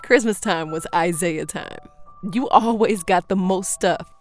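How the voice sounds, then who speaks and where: An actress playing a principled small-town police chief and protective older sister in an audio drama, speaking firmly and earnestly. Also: female voice, fairly low pitch, a little slow, soft background music, lightly acted. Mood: reminiscing. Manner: earnest, firm. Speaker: actor.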